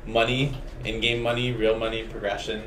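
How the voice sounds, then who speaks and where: A man's voice: on the low side, flat delivery, moderate pace, fairly bright tone, a little hiss or hum. Upbeat male stream host in an echoey room. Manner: upbeat, addresses viewers directly. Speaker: stream host reading viewer questions. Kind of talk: casual dev stream hosting.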